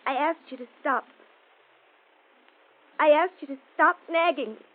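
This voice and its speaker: Overwrought teenage girl, lovesick, high and stammering, old radio melodrama, muffled sound. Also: unhurried. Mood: distraught. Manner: stammering, repeating phrases. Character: overwrought seventeen-year-old girl, lovesick and defiant, confessing. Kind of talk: 1940s American radio crime drama acting.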